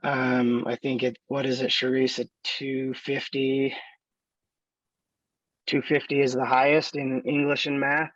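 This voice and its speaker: A man in his thirties with a raspy voice talks through his story at a very slow, halting pace, full of pauses and fillers. The sound is dry and dull, close to the mic with no room around it. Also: mid-range voice, little pitch movement, a bit bright, dry recording. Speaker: student. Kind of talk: interview, telling his life story.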